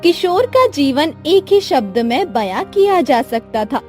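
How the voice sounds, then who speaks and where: A woman's voice: sits high, sing-song, measured pace. A woman storyteller reading scripted narration for a moral tale. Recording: music behind the voice.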